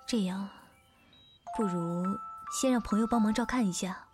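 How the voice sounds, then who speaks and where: A woman's voice: mid-range voice, moderate pace, over music, natural voice. A woman acting a courteous, composed and apologetic young woman in a Chinese audio drama. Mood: polite, considerate.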